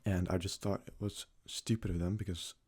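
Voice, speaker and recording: A young man from Sweden, a native Swedish speaker, talks casually in a soft-spoken, hesitant ASMR style as he answers questions. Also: low-pitched, steady tone, brisk, slightly rough. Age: young adult. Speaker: ASMR creator.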